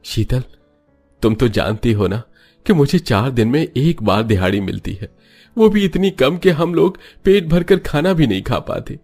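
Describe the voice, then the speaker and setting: A man's voice: medium pitch, very animated, a little slow, a little mellow, soft background music, pitched above the performer's own voice. A man voicing a weary, resigned poor labourer husband in a cartoon story, earnestly explaining. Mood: weary, troubled.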